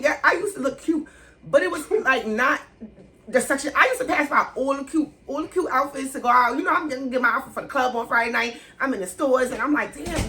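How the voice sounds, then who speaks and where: A woman's voice: medium pitch, sharp tone. A candid woman. She keeps it loose and slangy, laughing as she answers questions at home. A faint hiss of room noise sits behind her. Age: 30s. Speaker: vlogger. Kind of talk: casual sit-down Q&A vlog. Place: indoors at home, sit-down setting.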